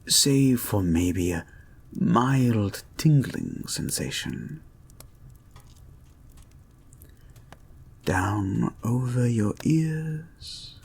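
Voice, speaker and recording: A man playing a creepy, soothing male therapist in an intimate audio roleplay, breathy and soft-spoken, with formal, deliberate phrasing that often drops toward a whisper. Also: medium pitch, slight background noise, heavily acted. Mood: soothing, intent. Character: creepy, soothing male experimental therapist, calmly controlling and intent. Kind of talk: slow intimate ASMR-like audio roleplay, guided hypnosis.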